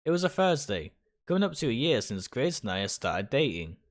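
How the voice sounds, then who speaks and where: A man's voice: mid-range voice, sing-song, brisk. A man reading visual novel text aloud in a dramatic storytelling style, sometimes stumbling and rereading words. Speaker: streamer reading a game aloud.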